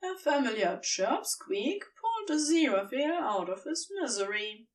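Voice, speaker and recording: A woman reading fiction as an audiobook narrator, with deliberate storytelling delivery and dramatic pauses. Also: sits high, very animated, recorded from a distance, noisy background. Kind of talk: expressive scripted fiction narration.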